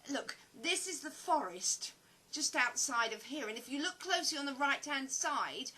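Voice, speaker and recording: A woman's voice: high-pitched, expressive, normal speed, bright, forceful tone. A woman playing a flustered, self-deprecating actress in an audio play, chatty but hesitant and prone to stammering. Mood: wistful, chatty.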